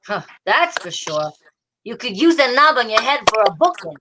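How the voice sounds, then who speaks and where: A woman playing a gruff but fond, exasperated police detective in an old-time radio drama, hardboiled and teasing. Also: fairly high pitch, sing-song, moderate pace, background noise. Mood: wry questioning.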